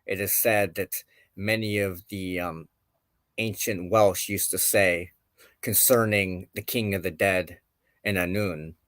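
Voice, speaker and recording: A man hosting a show, giving reflective commentary on folklore and myth with deliberate, emphatic phrasing. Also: low-pitched, steady tone, fairly bright tone, no room sound. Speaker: show host.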